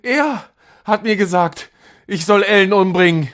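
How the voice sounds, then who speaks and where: Man playing a loud, desperate middle-aged son. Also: high-pitched, unhurried, pitched above the performer's own voice. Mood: tearful, frantic. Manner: pleading, agitated. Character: troubled, meek middle-aged son, desperate and easily led.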